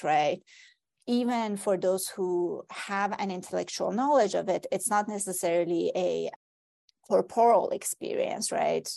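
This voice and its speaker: A woman's voice: fairly low pitch, vocal fry, a little mellow. A woman author whose first language is Persian, talking reflectively in an academic conversation, with frequent "like" and "right?" fillers. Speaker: author guest.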